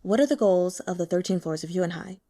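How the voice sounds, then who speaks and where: A woman voicing a calm, shrewd, frail young male strategist who speaks in a measured, guarded way. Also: fairly low pitch, close to an everyday voice.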